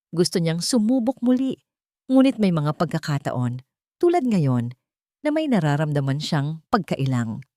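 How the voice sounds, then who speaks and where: A woman reading a scripted story as a dramatic, expressive storyteller. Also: on the low side, no room sound, clean studio sound.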